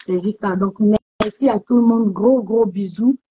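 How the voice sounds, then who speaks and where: A woman hosting a casual motivational livestream, chatting warmly and repeating herself, with many fillers. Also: medium pitch, measured pace, breathy edge, highs cut off. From West Africa. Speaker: livestream host.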